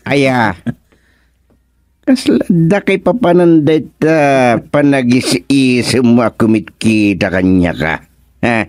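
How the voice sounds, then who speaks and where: Excitable, scheming village official, swooping pitch. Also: male voice, takes it slowly, no room sound, studio quality. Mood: sly. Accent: Ilocano. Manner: animated, hemming and hawing. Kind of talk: Ilocano radio comedy drama acting.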